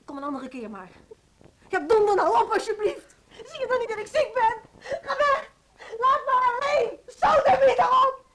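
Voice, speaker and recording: An actress playing a troubled, evasive music teacher in a radio play, curt and halting. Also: female voice, a little high, fairly bright tone, natural voice. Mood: hysterical, crying. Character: troubled, evasive woman music teacher with headache, prone to hysterics. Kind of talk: Dutch radio play acting.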